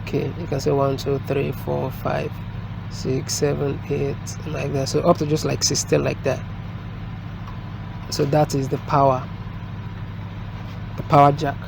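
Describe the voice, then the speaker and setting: A man giving an unscripted hands-on installation tutorial as a technician, explaining step by step in a halting way. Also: a little high, completely flat delivery, creaky. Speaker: technician presenting a product installation. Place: indoors at a desk, filmed from above. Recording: noisy room with street and vehicle sounds.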